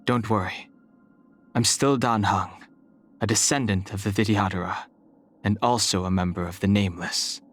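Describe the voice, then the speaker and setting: A man voicing a stoic, reserved young dragon-descended warrior in a video game, calm, formal and solemn, burdened by his past. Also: fairly low pitch, normal speed, faint music behind, lightly acted. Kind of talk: video game character voice lines.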